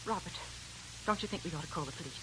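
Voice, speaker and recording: An anxious, suspicious middle-aged aunt played by a woman, with very lively intonation. She fusses and worries in old radio drama style on a noisy recording. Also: fairly low pitch, fast, lightly acted. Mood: suspicious, worried. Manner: worried, fussy. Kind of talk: 1940s radio drama acting.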